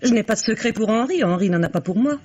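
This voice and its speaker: An actress playing a domineering, indignant middle-aged wife in a French radio mystery play, with an imperious manner. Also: female voice, on the high side, lively intonation, fast talker, a little mellow, husky. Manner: imperious, outraged, talks over others. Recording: muffled old French radio recording.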